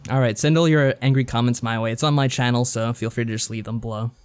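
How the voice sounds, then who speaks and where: Male American podcast co-host, average pitch, relaxed casual talk, dry sarcastic delivery. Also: quick pace, clear, resonant tone. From United States. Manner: laid-back, dryly sarcastic.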